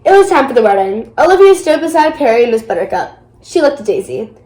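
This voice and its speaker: A woman's voice: fairly high pitch, very animated, fast, dark tone, roomy sound. A female storybook reader narrating a children's picture book aloud. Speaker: storybook reader, channel host.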